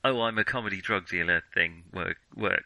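A man casually discussing films as a podcast host, speaking in halting, hesitant phrases. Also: low-pitched, creaky, bright, forceful tone, no echo.

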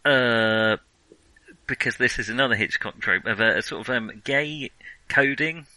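A man discusses film casually in a very bright, forward voice. The sound is dry and free of room echo, and he feels his way through his points with small hedges. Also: mid-range voice, measured pace. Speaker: film podcast co-host.

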